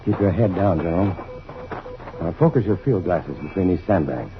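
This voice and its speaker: A muffled vintage radio recording presents a male scientist hero with a fairly low voice. He sounds earnest and intent, in the style of old radio drama. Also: lively intonation, moderate pace. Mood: intent, hushed.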